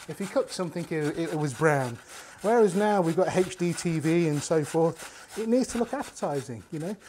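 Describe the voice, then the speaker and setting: A man, his voice sitting a little high for a man. He chats easily while chopping and grating in a home kitchen, talking straight to the viewers. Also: moderate pace, slight background noise. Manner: chatty, relaxed, talks while working with his hands. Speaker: cooking show host. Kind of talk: casual cooking demonstration to camera.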